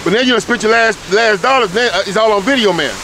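A man's voice: high-pitched, quick pace, bright, forceful tone, a little hiss or hum, poor audio. A man giving a blunt, profane lecture in casual street talk, speaking into a handheld phone in a rainy parking lot. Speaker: street interviewer.

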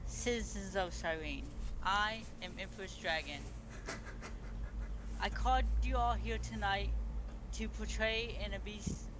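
A man playing a solemn beastman empress in online game role-play, making a formal, halting speech. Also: high voice. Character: Empress Dragon, solemn ruler of fantasy beastmen. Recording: noisy amateur game voice chat.

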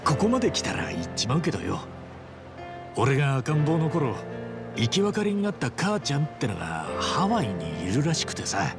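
An actor playing a friendly, rough-spoken, big-hearted man in a video game cutscene, with casual, chummy street slang. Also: medium pitch, very animated, moderate pace, over music. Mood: candid, reflective.

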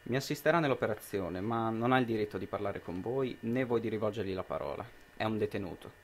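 Young man playing a fast-talking, officious state expert. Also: on the low side, a little hiss or hum, natural voice. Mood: officious, reassuring. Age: young adult. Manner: clipped, formal, authoritative. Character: brusque, officious male expert sent by an authoritarian regime. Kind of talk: amateur radio drama acting.

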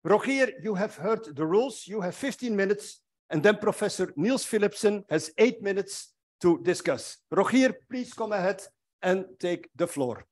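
A man's voice: sits high, lively intonation, normal speed. A middle-aged man, chairing an academic conference from a lectern in a wood-panelled lecture hall, genial and lightly joking. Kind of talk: welcoming remarks at an academic conference. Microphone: lectern microphone.

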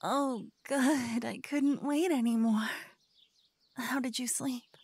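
A woman voicing a cocky, playful lover who whispers and teases softly in an ASMR roleplay. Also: sits high, fairly even intonation, slow, slightly rough, dry recording. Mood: playful teasing. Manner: playful, teasing, soft whispering. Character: cocky playful young woman, a devoted lover waking her partner. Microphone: binaural mic.

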